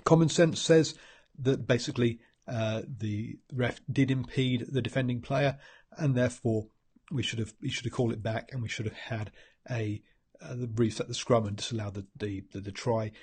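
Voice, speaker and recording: A man's voice: medium pitch, steady tone, normal speed, darkish tone, breathy edge. A man with a New Zealand accent and a stuffy head cold, giving a rambling solo rugby match analysis full of ums and restarts. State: congested from a cold. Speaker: rugby pundit host.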